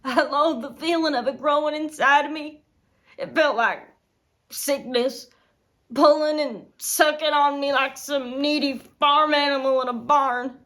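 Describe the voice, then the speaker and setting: A woman's voice: fairly high pitch, fairly even intonation, measured pace, vocal fry, a bit bright. A woman acting a desperate, tormented young farm wife in an intense dramatic film monologue. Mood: anguished, bitter. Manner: intense, swinging from whispers to anguished outbursts. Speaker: acting student.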